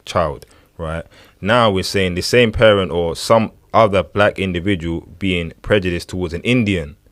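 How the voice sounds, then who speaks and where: A man's voice: low-pitched, moderate pace, a bit bright, dry recording, studio quality. A man from Uganda co-hosting a discussion, emphatic and often checking in with "right?". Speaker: podcast co-host.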